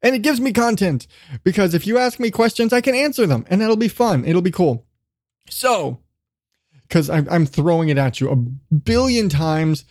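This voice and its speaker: High-voiced man, casual rambling podcast host. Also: lively intonation, moderate pace, a little mellow, dry recording, studio quality. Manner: rambling, self-deprecating; casually profane. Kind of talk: casual solo podcast chat.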